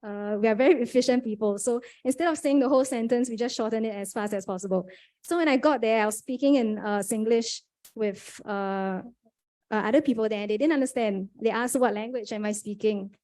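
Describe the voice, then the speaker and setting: A woman's voice: a little high, brisk, warm tone. A young Singaporean woman speaking on a conference panel, earnest, with frequent fillers and restarts.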